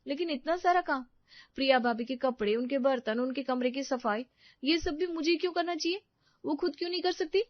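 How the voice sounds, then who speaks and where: Overworked young daughter-in-law performed by a woman, high and pleading, family melodrama, slightly dull audio. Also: brisk, no echo, natural voice. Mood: aggrieved. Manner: plaintive, protesting. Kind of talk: Hindi family drama storytelling.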